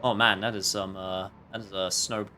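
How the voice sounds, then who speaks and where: A man's voice: low voice, little pitch movement, moderate pace, pushed and bright, a little hiss or hum. A man livestreaming a game with casual, rambling commentary full of jokey asides.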